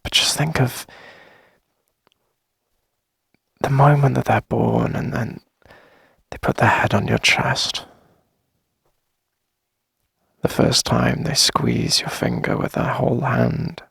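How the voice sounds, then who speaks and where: Man playing a husky, creaky, tender ASMR boyfriend. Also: very animated, no echo, clean studio sound, heavily acted. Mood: soft, wistful. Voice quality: husky, breathy, creaky low murmur. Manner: gentle, coaxing, lingering pauses. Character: tender, reassuring boyfriend longing to start a family. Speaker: ASMR audio roleplay performer. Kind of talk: intimate boyfriend ASMR roleplay, close and soft-spoken. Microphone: close dry microphone.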